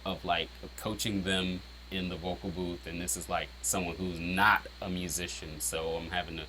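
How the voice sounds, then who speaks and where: A man with a very low, deep voice talks through the meaning behind his own rap verses. His tone is bright and forceful despite the low pitch. He rambles casually, filling gaps with "um", "like" and "you know". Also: little pitch movement, normal speed, a little hiss or hum, low-quality recording. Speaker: rapper breaking down his own lyrics. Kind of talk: casual explanatory chat.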